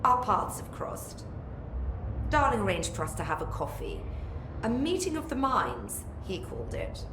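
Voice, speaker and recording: A woman's voice: mid-range voice, huge pitch swings, measured pace. A woman acting as a brusque, self-assured research scientist in a video game, speaking with pompous, condescending precision. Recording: echoey room, noisy ambience behind.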